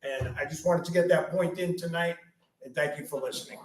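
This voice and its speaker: A man's voice: on the high side, a little fast, noisy background. A man who chairs a county party, speaking bluntly and emphatically at a panel discussion. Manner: blunt, emphatic, repeats "okay?". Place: club meeting room. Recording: echoey room, mic at a distance.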